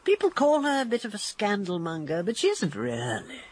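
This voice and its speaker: A woman's voice: medium pitch, wildly swooping pitch, moderate pace, darkish tone, husky. An actress playing a chatty, well-bred English lady in a radio drama, genteel and exclamatory. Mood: curious.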